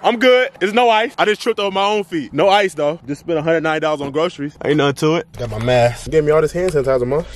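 Fast-talking young man joking on camera. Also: fairly high pitch. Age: young adult. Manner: playful, teasing, rapid banter. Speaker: vlogger. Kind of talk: joking casual vlog chat. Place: car interior and outdoors. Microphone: handheld camera at arm's length. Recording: noisy car and outdoor recording.

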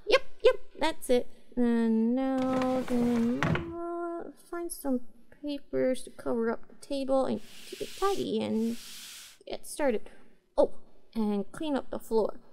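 A woman's voice: high-pitched, gravelly, mellow, exaggerated voice, poor audio. A woman voicing a cute, girlish young catgirl pet in a roleplay audio, speaking in a hesitant, earnest, apologetic way to her master. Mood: muttering, concentrating. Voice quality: cutesy, girlish.

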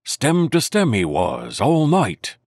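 A man's voice: medium pitch, huge pitch swings, takes it slowly, gravelly, a little mellow, no room sound, voice pushed up, heavily acted. A man voicing a hearty, wheedling old one-legged pirate cook in a storybook reading. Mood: jovial. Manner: wheedling, jovial sea talk.

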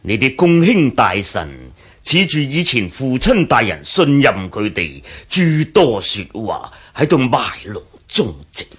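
An actor playing an arrogant, vindictive Han dynasty official in a Cantonese radio drama, haughty and scornful, with a Hong Kong accent. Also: male voice, mid-range voice, sing-song, unhurried, husky. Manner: haughty, scornful snorts and chuckles.

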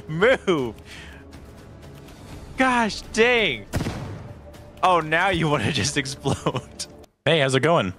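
A man streaming a multiplayer game, reacting loudly with shrieks, laughs and yelps of panic. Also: high-pitched. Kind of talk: excited gaming commentary.

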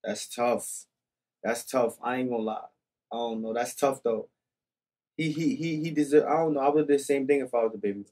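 A young man talks as a guest on a podcast. His voice is husky and medium in pitch. He is laid-back and casual, often repeating short phrases into a close studio mic. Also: a little fast, darkish tone. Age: young adult. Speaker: podcast guest, music artist. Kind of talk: casual chat. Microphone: boom-arm studio microphone with headset.

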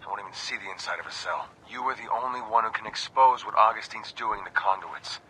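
A man's voice: lowish voice, brisk, pushed and bright, slightly rough, full character voice, rough recording. An actor playing a grave, secretive military informant in a video game, confiding and guarded as he records an audio log. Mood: urgent, confiding. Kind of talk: scripted game dialogue, audio log narration.

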